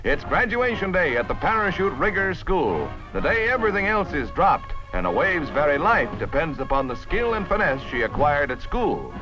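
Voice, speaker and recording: A man's voice: fairly high pitch, very animated, moderate pace, few pauses, muffled recording, music in the background. An American man narrating a 1950s newsreel in a polished, dramatic, declamatory style on a vintage soundtrack. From United States.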